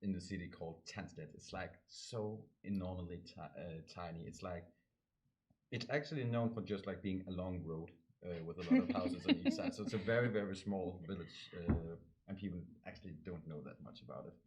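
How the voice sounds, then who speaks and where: A man's voice: low-pitched, a little fast, darkish tone. A young man from Denmark with a Danish accent, chatting casually and hesitantly as a guest, circling around his point. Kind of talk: casual interview chat.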